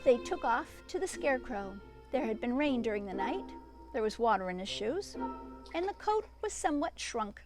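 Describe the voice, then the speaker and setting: A woman with a high voice reads a children's storybook aloud. Her delivery swings widely in pitch and is full of expression, with chatty asides to young listeners. Music plays behind her. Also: big pitch swings, normal speed.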